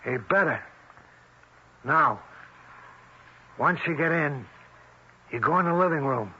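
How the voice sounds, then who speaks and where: Radio drama mobster: American, streetwise, threatening, higher-pitched male voice on a dull vintage recording. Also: expressive, slow, pushed and bright, husky, heavily acted. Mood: menacing. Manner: streetwise slangy talk, bossy and threatening. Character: menacing Italian-American mobster, male fugitive, slangy and bossy. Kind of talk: old-time radio drama acting. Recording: muffled vintage radio broadcast.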